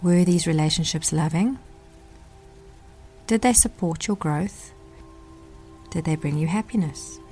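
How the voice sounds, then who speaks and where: A woman narrating a guided meditation as the guide, speaking in a gentle, measured way with deliberate pauses. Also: low voice, faint music behind.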